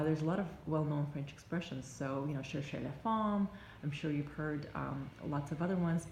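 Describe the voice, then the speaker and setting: A native Russian-speaking woman with a Russian accent, giving reflective advice to camera in a halting, thoughtful way with pauses mid-sentence. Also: low voice, creaky, mellow. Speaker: life coach and vlogger. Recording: roomy echo, faint background noise.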